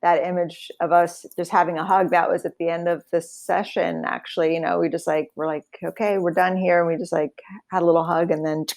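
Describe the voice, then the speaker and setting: Woman, low voice, gravelly, reflective, casual talk full of fillers. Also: level tone, fairly quick, a little mellow. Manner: reflective, many fillers like "like" and "you know". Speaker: singer and guitarist. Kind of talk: casual interview chat.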